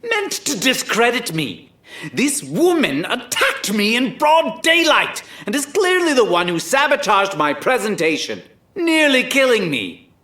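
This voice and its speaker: An actor voicing a pompous, defensive fraud of a scientist in an animated audio drama, indignant and haughty, in a roomy echo. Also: medium pitch, unhurried, full character voice. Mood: flustered.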